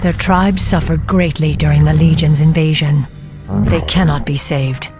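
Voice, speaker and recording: A woman's voice: deep voice, steady tone, a little slow. A night elf warden in a fantasy game. She is stern, commanding and vengeful, speaking with grave resolve over music. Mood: grim resolve. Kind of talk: dramatic video game cinematic acting.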